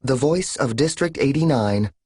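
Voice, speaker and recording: Man doing a soft feminine machine voice, frail older mother, calm, even, higher than his own. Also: normal speed, breathy edge, no room sound, studio quality. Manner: calm, even and pleasant, flat of feeling. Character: frail older mother speaking through a calm synthetic voice modulator.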